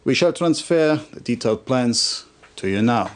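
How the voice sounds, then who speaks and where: An actor playing a fervent, conspiratorial military officer in a video game cutscene, speaking in a formal, emphatic, declamatory way. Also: male voice, medium pitch, lively intonation, moderate pace, close to an everyday voice. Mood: grave, urgent. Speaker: military officer briefing a commander.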